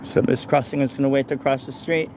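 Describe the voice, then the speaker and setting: A man rambling casually and haltingly into a handheld phone as he walks outdoors, often repeating his phrases. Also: mid-range voice, fairly even intonation, a little mellow. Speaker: vlogger. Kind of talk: casual rambling walk-and-talk chat. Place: outdoors walking along a street at night. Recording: noisy outdoor phone, traffic ambience. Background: vehicles and street ambience.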